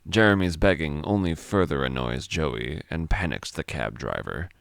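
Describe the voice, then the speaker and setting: An extremely low-voiced man narrates a dramatic story. His voice is smooth and resonant, recorded close and dry. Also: animated, normal speed, no echo.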